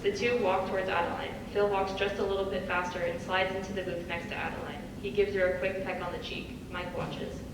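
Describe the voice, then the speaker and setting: A woman's voice: mid-range voice, little pitch movement, brisk, few pauses. A woman reading stage directions as the narrator at a script table read. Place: large reverberant room, recorded from afar. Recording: very echoey hall, far mic, clear noise.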